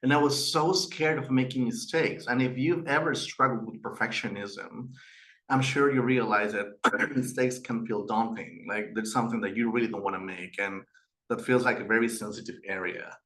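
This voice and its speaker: A man's voice: medium pitch, moderate pace, fairly bright tone, low-quality recording. A middle-aged man from Peru with a Peruvian Spanish accent, hosting a webinar and telling personal stories earnestly, with fillers and restarts. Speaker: webinar host and institute director. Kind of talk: webinar presentation.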